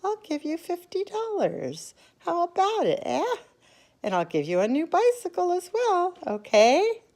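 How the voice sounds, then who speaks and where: Woman doing a sneaky whispery guy in a storybook, high voice. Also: huge pitch swings, a little slow, a little mellow, exaggerated voice. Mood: wheedling. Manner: whispering, wheedling. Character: tall sly man, tempting bribes.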